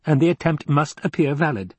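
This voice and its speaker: A man's voice: animated, brisk, darkish tone, husky, no room sound. A man voicing a clever, supercilious male adviser in an audiobook, laying out schemes in precise, formal speech. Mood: sly, explanatory. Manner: precise, formal, higher-pitched exposition. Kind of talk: audiobook character acting.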